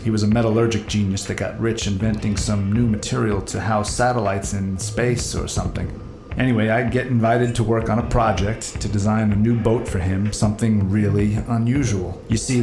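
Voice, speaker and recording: Man playing a low-voiced, wistful treasure hunter, roomy sound. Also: background noise, natural voice. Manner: casual, reflective storytelling. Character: rugged, wistful male treasure hunter and boat captain. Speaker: actor in an audio drama. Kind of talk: naturalistic full-cast audio drama acting.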